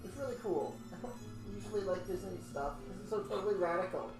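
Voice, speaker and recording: A woman's voice: mid-range voice, expressive, a little fast, roomy sound, distant mic, over music, poor audio. A woman co-hosting a casual podcast, reading a fan letter and explaining comic art details. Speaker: podcast co-host. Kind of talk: casual chat and table reading.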